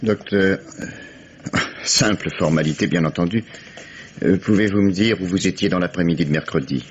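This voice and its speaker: A man playing a very deep-voiced police commissioner. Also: normal speed, slight background noise, close to an everyday voice. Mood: probing. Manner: polite, dry and probing. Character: calm, methodical male police commissioner. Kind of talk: 1950s radio drama acting. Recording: dull lo-fi archive radio recording.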